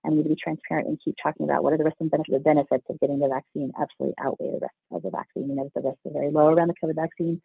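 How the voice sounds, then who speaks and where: A pediatrician answering interview questions with a hoarse, husky voice, earnest and explanatory. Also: male voice, on the high side, little pitch movement, rapid-fire, few pauses, dry recording. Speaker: pediatrician guest. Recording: tinny phone line, dry.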